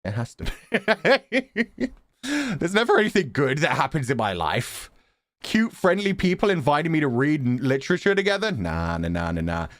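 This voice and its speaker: A man's voice: fairly high pitch, big pitch swings, moderate pace, a bit bright, dry recording, clean studio sound. A man giving casual, slangy, joking live commentary while streaming a game.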